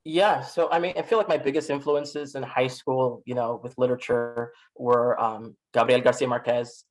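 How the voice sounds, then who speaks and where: A man's voice: mid-range voice, fairly even intonation, normal speed, rough recording. A man from Miami with an American accent, speaking as a podcast guest in a casual, reflective, self-deprecating interview style. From Miami, United States.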